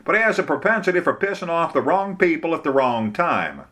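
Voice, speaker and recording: A man's voice: a little high, very animated, brisk, fairly bright tone, pitched above the performer's own voice. A man reading a story, voicing a folksy, blunt Southern lawyer who talks in an emphatic, colloquial way. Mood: emphatic, candid.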